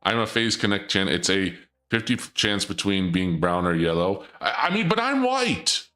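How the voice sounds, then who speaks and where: Joking, rambling man with a bright voice. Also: fairly low pitch, sing-song, moderate pace, soft background music. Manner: rambling, joking, theatrical dark-lord persona. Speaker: streamer. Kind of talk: casual stream chat.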